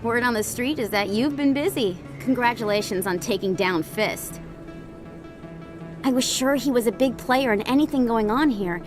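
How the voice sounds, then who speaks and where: An actress as a high-voiced, shrewd dealer. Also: female voice, lively intonation, normal speed, natural voice. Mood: businesslike. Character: shrewd, smooth female information dealer. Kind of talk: video game voice acting. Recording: game dialogue over loud music.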